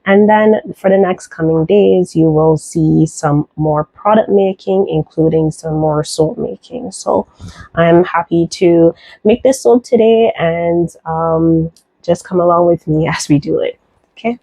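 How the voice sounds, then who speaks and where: A woman from Barbados with a softened Barbadian accent, chatting casually through a soap-making tutorial with lots of fillers. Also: lowish voice, unhurried, no room sound, poor audio. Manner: relaxed, chatty, many fillers, light laughs.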